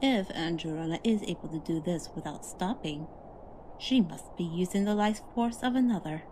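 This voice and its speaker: A woman's voice: lowish voice, moderate pace, warm tone, slight background noise, natural voice, rough recording. A woman playing a proud, hot-headed younger elf warrior in a fantasy roleplay, with a haughty and dramatic delivery. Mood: haughty, explaining. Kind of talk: fantasy audio roleplay acting.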